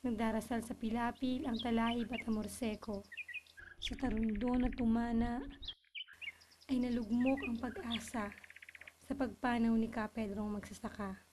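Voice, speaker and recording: A woman reciting literary poetry in a solemn manner with measured phrasing. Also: fairly high pitch, steady tone, background noise. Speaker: poetry reader.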